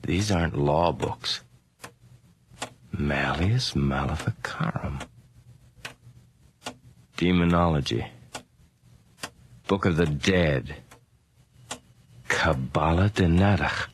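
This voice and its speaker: A man acting a sharp, excitable investigator in a 1980s radio drama, with a North American accent and a wry, insistent, questioning manner. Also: low voice, slow pace, long pauses. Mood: intrigued, insistent. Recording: noisy old radio drama recording.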